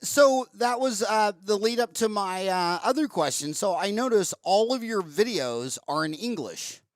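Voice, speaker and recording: A man's voice: high voice, lively intonation, a little slow, no echo. A man hosting an interview podcast, rambling and searching for words with many ums. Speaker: podcast host. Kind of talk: casual interview chat.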